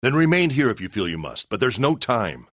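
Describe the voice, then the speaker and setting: A man voicing a composed, formal older gentleman in an anime-style game. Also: medium pitch, very animated, a little fast, no room sound.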